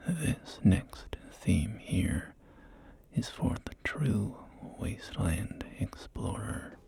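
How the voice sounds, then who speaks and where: A man playing a polite, unflappable real estate agent of the wasteland in a soft ASMR roleplay, whispering in a measured, courteous sales patter. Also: lowish voice, very animated, heavily acted. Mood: calm, matter-of-fact. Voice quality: soft, breathy whisper with creaky murmur. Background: music.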